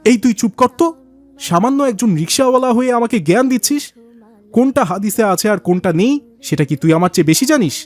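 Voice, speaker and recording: Man acting a show-off rich cartoon businessman, high-pitched, bossy, condescending. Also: animated, quick pace, darkish tone. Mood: boastful, scornful. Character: pompous, show-off rich businessman and indulgent father.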